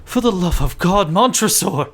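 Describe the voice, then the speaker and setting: A man's voice: high-pitched, expressive, normal speed, fairly bright tone, voice pushed up, full character voice. A man voicing a drunken, doomed nobleman in a dramatic gothic story, pleading and wailing with forced laughter. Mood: desperate.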